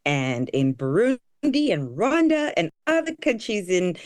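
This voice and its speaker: A woman's voice: fairly low pitch, sing-song, measured pace, darkish tone, no room sound. A woman from Tanzania whose first language is Kiswahili, a guest explaining things on a kids' podcast. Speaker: guest educator and author. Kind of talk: podcast interview. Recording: music bed underneath.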